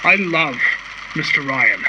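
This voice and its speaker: A man's voice: fairly high pitch, animated, takes it slowly. An actor playing a conflicted but resolute working man, giving a grave, earnest monologue in an audio drama. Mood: grave resolve. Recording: muffled lo-fi recording, loud engine-like noise.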